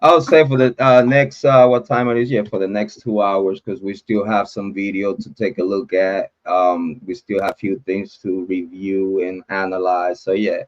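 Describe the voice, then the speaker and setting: A man's voice: low voice, little pitch movement, highs cut off. A man from Rico, hosting a casual livestream chat. His talk rambles and drags with fillers and the odd curse. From Puerto Rico. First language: Spanish. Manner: rambling, chatty, drawn-out with fillers and cursing. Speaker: livestream host.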